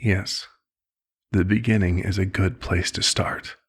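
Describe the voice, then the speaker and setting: Husky, gravelly vampire doctor, played by a man. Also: sits low, steady tone, measured pace, full character voice. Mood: probing, measured. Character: vampire doctor, male, concerned, paternal, measured therapist. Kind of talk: ASMR roleplay.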